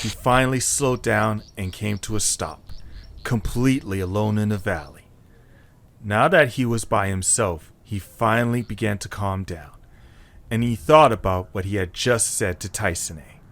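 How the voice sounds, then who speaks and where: A man's voice: lowish voice, a bit bright. A man reading scripted narration as the narrator of a dramatic story.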